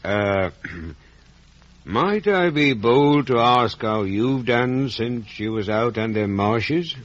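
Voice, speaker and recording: An actor playing a big, grey-haired ex-convict, rustic, halting and fervently sentimental, in a 1950s radio drama. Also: male voice, medium pitch, expressive. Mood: fond, humble. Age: older. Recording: dull vintage radio recording.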